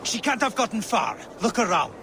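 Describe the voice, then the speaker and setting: High-pitched, alert thug on the hunt. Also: male voice, huge pitch swings, normal speed, full character voice. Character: hostile male thug hunting a fugitive, alert. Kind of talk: video game guard barks. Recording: loud music over the voice. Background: loud music.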